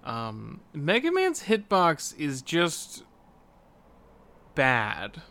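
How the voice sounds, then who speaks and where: Male podcast co-host, forceful bright voice a bit above average pitch, casual gaming talk. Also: huge pitch swings, drawn-out words. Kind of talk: casual video game discussion.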